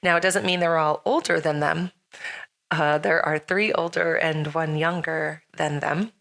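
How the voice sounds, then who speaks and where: Raspy middle-aged woman hosting a podcast. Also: sits low, fairly even intonation, measured pace, fairly bright tone. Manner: rambling, laughs often, many fillers. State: slightly hoarse. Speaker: podcast host. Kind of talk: casual podcast chat.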